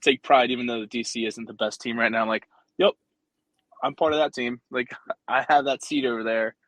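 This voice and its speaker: A man's voice: medium pitch, brisk, no room sound. A man whose first language is Spanish, a rugby coach talking as a guest. He speaks casually and easily, with many "like" fillers. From United States, Guatemalan family. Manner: relaxed and easygoing, many "like" fillers. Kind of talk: casual interview chat.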